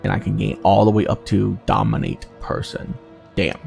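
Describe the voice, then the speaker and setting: A man with a low, gravelly voice talks his way casually through a video game. His pitch moves around a lot as he mutters to himself over choices. Faint music plays behind him. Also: steady tone, moderate pace, a little mellow. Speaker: gaming video host. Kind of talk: casual game playthrough commentary, reading dialogue aloud.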